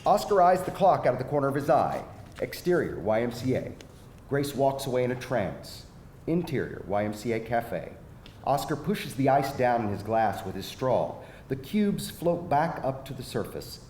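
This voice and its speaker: A man narrating screenplay directions at a live table read, in a dramatic storytelling manner with measured pauses. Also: sing-song, a little mellow. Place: echoing indoor venue at live reading. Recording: echoey live room, faint rumbling noise.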